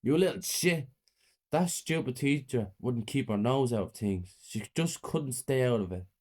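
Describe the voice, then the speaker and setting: A man's voice: mid-range voice, animated, a little slow, darkish tone, pitched above the performer's own voice. A man doing a cruel, gloating murderous mother. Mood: menacing. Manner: menacing, gloating.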